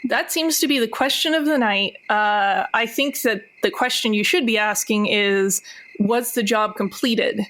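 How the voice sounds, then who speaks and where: A woman's voice: on the high side, a little slow, a bit bright, poor audio. A woman playing a sarcastic, defiant burglar in a tabletop game, with a dry, sardonic delivery. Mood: wry. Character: sarcastic, foul-mouthed burglar, defiant and wry.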